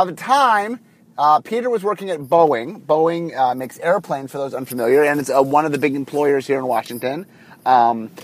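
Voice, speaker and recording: Man with a cold-husky voice. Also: fairly high pitch. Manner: rambling, full of ums and restarts. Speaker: podcast host. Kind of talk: casual solo podcast storytelling. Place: car, recording on the drive to work.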